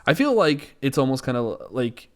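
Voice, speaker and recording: A man's voice: mid-range voice, very animated, moderate pace. A man co-hosting a podcast in casual, rambling, opinionated discussion with a joking, sarcastic streak. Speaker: podcast co-host. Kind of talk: casual pop culture discussion.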